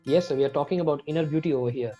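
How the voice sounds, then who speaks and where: Host with formal scripted intros. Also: male voice, a little high, rapid-fire, mellow. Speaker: host, video creation coach. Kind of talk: show host introduction and interviewing. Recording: dull lo-fi audio with music bed.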